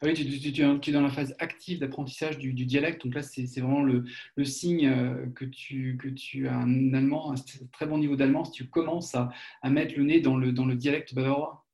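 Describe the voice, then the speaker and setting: A man's voice: medium pitch, fairly even intonation, a little fast, darkish tone. A man from France hosting an interview, asking long winding questions with frequent restarts. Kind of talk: conversational interview.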